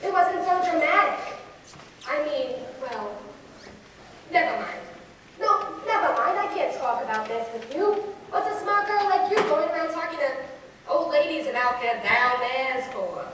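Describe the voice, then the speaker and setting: A woman's voice: high-pitched, expressive, moderate pace, a bit bright. A woman playing a reticent, embarrassed old woman in her seventies in a conversational stage monologue, flustered and evasive. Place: stage in a large echoing hall. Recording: echoey hall, distant mic, noisy.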